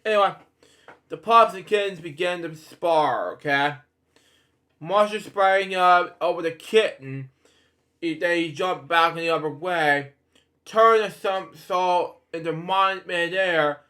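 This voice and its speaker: A man with a fairly high voice reads a children's story aloud at a slow pace. He stumbles and halts over phrases, drifting into casual, rambling asides as he hosts. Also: pushed and bright. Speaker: host reading bedtime stories.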